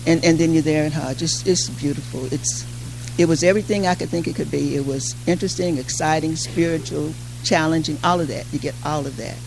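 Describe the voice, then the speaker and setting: Older woman moderator with a creaky voice. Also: sits low. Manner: conversational, halting with repeated phrases. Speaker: panel moderator. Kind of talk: informal public address. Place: community hall at a mosque. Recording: faint background noise and hum.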